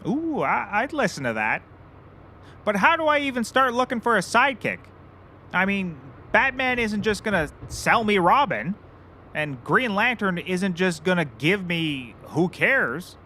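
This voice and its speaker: An actor playing a hapless, self-important and childish private eye in a scripted comedy audio show, earnest, excitable and oblivious. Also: male voice, sits high, measured pace, a little hiss or hum, exaggerated voice.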